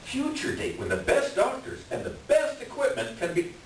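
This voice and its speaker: Man acting a hapless, high-pitched swindler doctor, echoey room. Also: animated, moderate pace, gravelly, full character voice. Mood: smooth, evasive. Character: hapless middle-aged male swindler doctor, pleading, self-deprecating. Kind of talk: staged script reading. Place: echoey room, far from the mic. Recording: distant echoey room with hiss.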